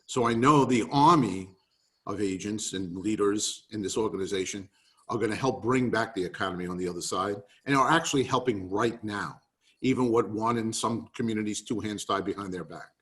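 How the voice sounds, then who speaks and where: A man's voice: on the low side, a little fast, fairly bright tone. A man with a New York accent giving an emphatic, rallying business talk on a video call. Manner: emphatic, rallying, repeats key points. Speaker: webinar presenter.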